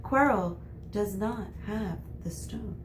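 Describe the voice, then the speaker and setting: A woman voicing a calm, kindly elderly male wizard headmaster from a children's novel in a gentle, soothing manner. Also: medium pitch, slow pace, a little hiss or hum, lightly acted. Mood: calm, reassuring. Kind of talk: children's novel read aloud.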